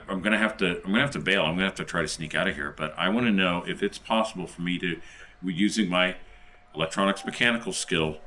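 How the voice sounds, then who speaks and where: A man playing a tabletop roleplaying game, talking casually and haltingly through his character's moves. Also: sits low, sharp tone, slight background noise.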